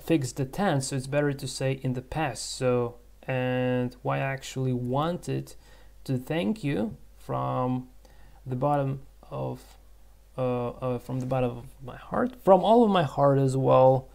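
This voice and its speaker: Slow, gravelly man, Russian native speaker. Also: mid-range voice, darkish tone. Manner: thinking aloud, halting, many fillers and restarts. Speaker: English tutor. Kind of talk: screen-recorded language lesson.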